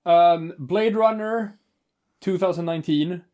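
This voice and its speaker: A man's voice: high-pitched, measured pace, a little mellow, clear, resonant tone, dull audio. A man co-hosting a casual movie commentary, rambling with lots of fillers and restarts. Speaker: podcast co-host.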